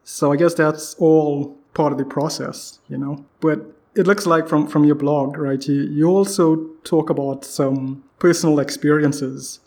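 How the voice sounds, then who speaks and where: A man's voice: a little high, steady tone, measured pace, hardly any pauses, a little mellow. A man hosting a casual, conversational interview in an informal way. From Trinidad. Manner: informal, peppered with "you know". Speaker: podcast host, interviewer.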